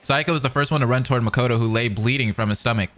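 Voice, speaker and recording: A man reading narration dramatically and adding excited commentary as a reaction streamer. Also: medium pitch, racing. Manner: animated, dramatic. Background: faint music.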